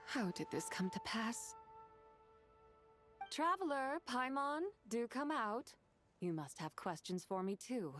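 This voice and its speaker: A woman voicing a courteous, wise immortal in a video game, with formal, measured, old-fashioned delivery. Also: a little high, animated, fairly bright tone, soft background music. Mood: grave, composed. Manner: formal, measured, archaic wording.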